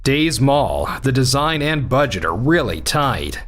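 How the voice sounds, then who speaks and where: Earnest construction manager, animated mid-pitched delivery; a man reads him. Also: measured pace, soft background music. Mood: worried. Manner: earnest, explanatory. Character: worried middle-aged male construction manager. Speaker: voice actor in a manga dub. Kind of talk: manga dub acting.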